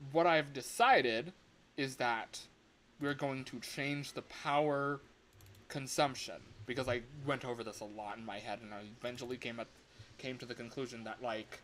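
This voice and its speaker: A man with a mid-pitched voice chats casually as a livestream host. He rambles and exclaims, circling back over his own words. The home room recording carries clear background noise. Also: low-quality recording. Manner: animated, exclaiming, self-interrupting and repeating words. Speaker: livestream host, game developer.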